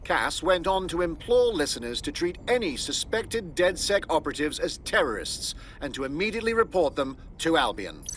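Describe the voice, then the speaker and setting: A man's voice: on the high side, big pitch swings, normal speed, hardly any pauses, fairly bright tone, slight background noise. An actor playing a formal male radio newsreader. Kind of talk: news read.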